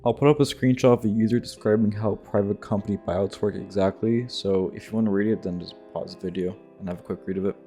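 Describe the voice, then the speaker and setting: Male narrator, lowish pitch, casual scripted gaming commentary, irreverent delivery, guitar music bed. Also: fairly even intonation, fast talker, few pauses, dark tone. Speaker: video essay narrator. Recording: music behind the voice.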